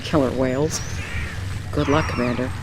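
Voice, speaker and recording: An actress playing a businesslike military intelligence officer with a wry streak in a game briefing. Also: female voice, rumbling low, steady tone, normal speed. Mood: wry disbelief. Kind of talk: video game cutscene briefing. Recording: very noisy game cutscene audio.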